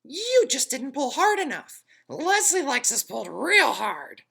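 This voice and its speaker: A tiny, sly talking pigtail in a storybook reading. The voice is squeaky and far above her own, whining and wheedling with lively swoops. It is pushy and sweetly persuasive. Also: measured pace, vocal fry, exaggerated voice. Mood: coaxing. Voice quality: squeaky and coaxing. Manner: whiny, persuasive.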